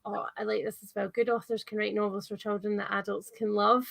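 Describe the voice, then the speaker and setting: A woman hosting a casual live book chat, talking in an enthusiastic, chatty way with animated reactions. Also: medium pitch, fairly quick, creaky. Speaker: host of a book channel livestream.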